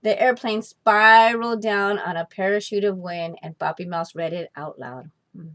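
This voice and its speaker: A woman reads a children's story aloud in a mid-pitched voice. Her scripted narration is expressive and deliberate, leaning on key words. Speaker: storybook reader.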